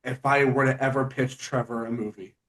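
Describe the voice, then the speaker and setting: A man's voice: mid-range voice, steady tone, brisk, a bit bright. Man hosting casually, distant from the mic. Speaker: interview host. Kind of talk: casual interview chat.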